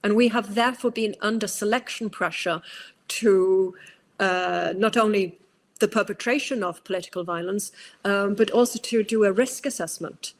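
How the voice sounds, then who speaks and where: A woman's voice: medium pitch, normal speed. A Norwegian woman speaking English with a British-tinged accent, a psychologist giving long, thoughtful interview answers with many fillers and restarts. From Norway. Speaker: guest psychologist.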